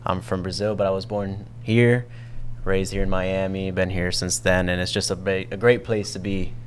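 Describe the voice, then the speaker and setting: A young man answering interview questions in a relaxed way, with "you know" fillers. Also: deep voice, normal speed, slight background noise. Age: young adult. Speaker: entrepreneur guest.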